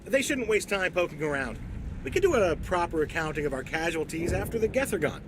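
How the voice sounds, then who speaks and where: A man's voice: sing-song, a little fast. An actor playing a suspicious, officious company man in a video game, curt and defensive. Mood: cold, officious. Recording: noisy rumbling outdoor ambience behind voice.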